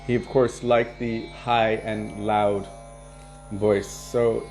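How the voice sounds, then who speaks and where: A man leading a devotional program, casually answering viewer questions and sharing anecdotes in a relaxed way, chuckling now and then. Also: fairly low pitch, slow pace, soft background music. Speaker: kirtan leader and devotional teacher.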